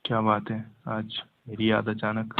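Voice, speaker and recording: Guy on the phone, lowish voice, really flat delivery, casual private chat, tinny sound. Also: unhurried, slight background noise. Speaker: call recipient in a phone conversation. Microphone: phone call. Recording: dry, narrow tinny phone line.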